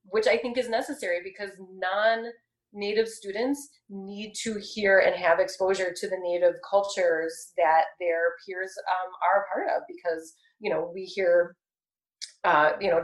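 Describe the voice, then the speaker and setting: A woman in her thirties speaking earnestly and measuredly in a casual discussion, pausing often with ums. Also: medium pitch, reverberant, rough recording.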